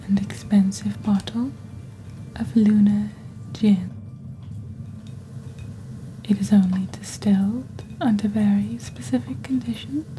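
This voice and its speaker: A woman softly presenting products in a hushed, breathy, gentle and deliberate ASMR style, like a scripted fantasy shopping channel. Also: mid-range voice, a little hiss or hum, poor audio. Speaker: host of a witch-themed shopping channel roleplay.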